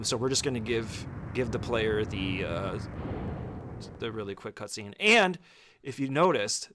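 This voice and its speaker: A man's voice: medium pitch, a bit bright, a little hiss or hum. A man giving an informal, step-by-step speedrun tutorial as casual gameplay commentary, swearing freely.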